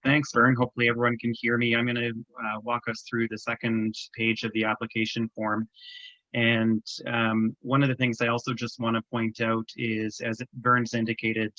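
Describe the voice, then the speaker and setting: Flat-voiced Canadian man explaining a form. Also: medium pitch, no room sound, highs cut off. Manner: measured, explanatory, frequent ums. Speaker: government program presenter. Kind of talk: webinar walkthrough. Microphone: video call.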